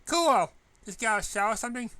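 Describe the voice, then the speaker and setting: A man's voice: high-pitched, expressive, quick pace, bright, forceful tone, voice pushed up. A man voicing a brash, sporty, confident teenage schoolgirl with a casual, punchy way of talking. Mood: upbeat.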